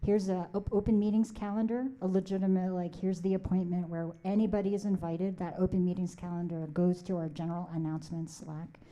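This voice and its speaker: A woman's voice: lowish voice, little pitch movement, fairly quick, few pauses, warm tone, muffled recording. A woman from the Philippines whose first language is Tagalog, speaking on a conference panel in an earnest, encouraging way with many "like"s.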